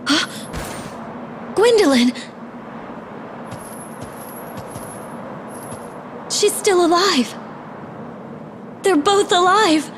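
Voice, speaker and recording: A woman's voice: extremely high pitch, lively intonation, a little hiss or hum, full character voice. A woman playing a solemn, determined young sorceress princess in a dramatic fantasy video game. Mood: astonished. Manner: dramatic, deliberate, incantatory. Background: music.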